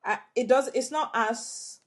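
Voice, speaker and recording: A young woman co-hosting a film podcast, speaking in a measured, thoughtful way. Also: on the high side. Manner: measured, thoughtful, weighs her words. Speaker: podcast co-host, film reviewer. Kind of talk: casual review chat.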